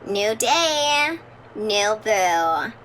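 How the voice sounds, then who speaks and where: A woman's voice: mid-range voice, big pitch swings, drawn-out words. An actress playing a smug, menacing young woman taunting someone over the phone, in a very noisy recording. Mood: smug menace.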